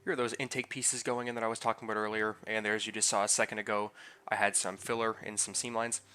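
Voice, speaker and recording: A man's voice: lowish voice, little pitch movement, fast, pushed and bright, smooth, faint music behind. A man narrating his model build in a casual voice-over. He sounds a bit nasal and congested from a head cold and talks loosely, with many fillers and restarts. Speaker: scale model builder and channel host.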